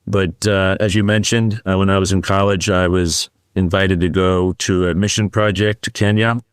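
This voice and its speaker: Middle-aged guy, really low voice, smooth and resonant, chatty and reflective, dry close mic. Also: level tone, normal speed, no room sound. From United States. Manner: warm, reflective, with frequent fillers and restarts. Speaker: podcast guest. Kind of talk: casual interview storytelling.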